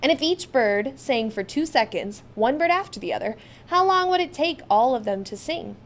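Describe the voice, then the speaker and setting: A woman with a fairly high voice narrates a children's storybook in the first person. She reads expressively, putting clear emphasis on key words. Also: expressive, moderate pace, slight background noise. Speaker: storybook reader.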